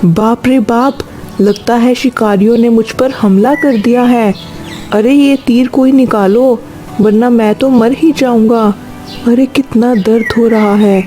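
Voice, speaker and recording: A woman's voice: a little high, fairly even intonation, measured pace, dark tone, soft background music, natural voice. A woman voicing an adult male crow, a caring family father, in a Hindi cartoon story with plain, exclaiming delivery. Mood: pained, pleading.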